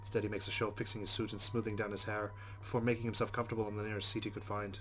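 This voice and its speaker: A man's voice: on the low side, little pitch movement, rapid-fire, a bit bright, natural voice. A man reading the first-person thoughts of a rueful young Irish woman in a visual novel, with a put-on Irish accent. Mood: wry.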